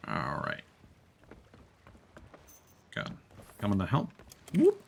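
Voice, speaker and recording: A man's voice: low-pitched, wildly swooping pitch, slow pace, gravelly, slight background noise. A man streaming a game, chatting casually and thinking aloud in hesitant, unfinished fragments. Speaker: live streamer. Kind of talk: casual gameplay chat.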